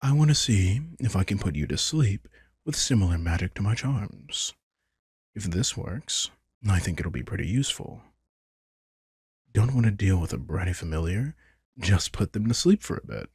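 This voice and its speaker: A man's voice: low-pitched, lively intonation, normal speed, darkish tone, breathy edge, dry recording, studio quality, exaggerated voice. A man playing a vampire prince in an intimate roleplay audio, a teasing, possessive but protective keeper who talks conversationally. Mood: teasing, soothing. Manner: conversational, teasing, swearing in concern.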